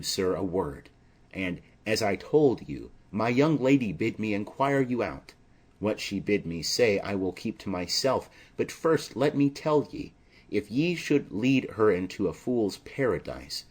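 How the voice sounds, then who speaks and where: Man reading as a fussy low-voiced old nurse. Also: darkish tone, slightly rough, full character voice. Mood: indignant. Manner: rambling, exclaiming, flustered and indignant. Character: fussy, chattering older female nurse servant.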